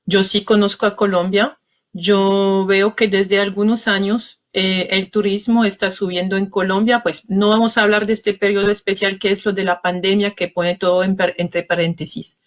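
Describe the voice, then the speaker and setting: A woman from France, a journalist being interviewed, speaking with a French accent in a measured, hesitant way as she looks for words. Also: mid-range voice, flat delivery. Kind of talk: spoken interview answer.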